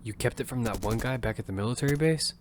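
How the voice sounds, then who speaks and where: A man's voice: mid-range voice, quick pace, noisy background, natural voice. A man voicing Damian, a young battle survivor, narrating the story in first person in an earnest, matter-of-fact way. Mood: steady. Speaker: narrator and lead character. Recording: loud music score behind.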